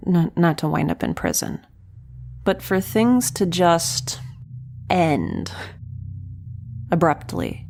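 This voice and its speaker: Slow, wry woman narrating a drama. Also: on the low side, darkish tone, slight background noise. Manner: wry, self-deprecating and rambling. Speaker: narrator and lead of an audio drama. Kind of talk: scripted audio drama monologue.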